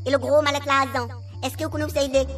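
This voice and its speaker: A man with a Réunion Creole accent playing an insistent, excitable fortune-telling medium in a comic radio serial, in a squeaky voice. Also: very fast. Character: excitable male medium pestering a spirit for information. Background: music under the voice.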